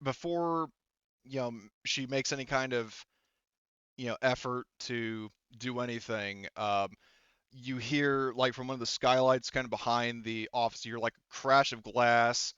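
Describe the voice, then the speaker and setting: A man's voice: mid-range voice, steady tone, unhurried, a bit bright, clean tone, no echo, muffled recording. A man running a tabletop game as game master, narrating casually in a rambling, profane, jokey way.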